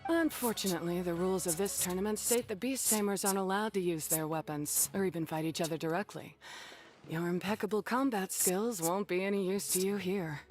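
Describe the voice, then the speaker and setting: A woman acts out a no-nonsense beast tamer from a video game. Her voice sits slightly low, and she talks bluntly and plainly. Faint music runs underneath. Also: a little fast, a little mellow, natural voice. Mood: matter-of-fact. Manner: blunt, matter-of-fact, unpolished. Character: blunt, self-deprecating female beast tamer, hardened contestant. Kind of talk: English video game voice acting.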